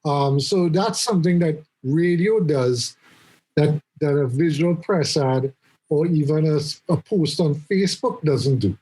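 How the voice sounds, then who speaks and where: A man in his mid-forties talks through ideas on a podcast, often restarting as he hunts for a word. His voice sits a bit high and sounds husky. He teases his co-host with dry jabs. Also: measured pace, mellow, rough recording. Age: 40s. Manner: rambling, restarts often, teasing. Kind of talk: casual chat. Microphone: remote call.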